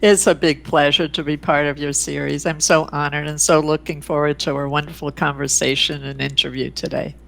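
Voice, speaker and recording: A woman's voice: low-pitched, level tone, brisk, gravelly, few pauses, poor audio. A woman whose first language is Ukrainian, speaking as an interview guest with effusive enthusiasm and frequent fillers, recorded in a room at home. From Canada and the US. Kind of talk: conversational interview. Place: a room at home with her oil paintings.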